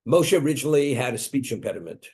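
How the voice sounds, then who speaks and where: A man's voice: medium pitch, big pitch swings, fast, a little mellow. A man giving a religious Torah lecture, emphatic, often repeating and restarting words as he explains. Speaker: Torah teacher.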